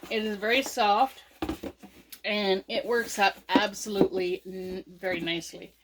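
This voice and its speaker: A woman hosting a casual crochet tutorial. She sounds sniffly from a cold and chats slowly, with lots of "um" and "uh" between steps. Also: mid-range voice, fairly even intonation, very noisy. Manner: slow, chatty, with many fillers and pauses. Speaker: crochet channel host.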